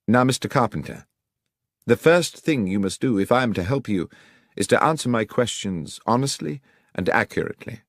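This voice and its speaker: A man's voice: medium pitch, lively intonation, moderate pace, no echo, studio quality, pitched above the performer's own voice. A man voicing a commanding, impatient Victorian detective with a British accent and precise, authoritative delivery. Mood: probing, brisk.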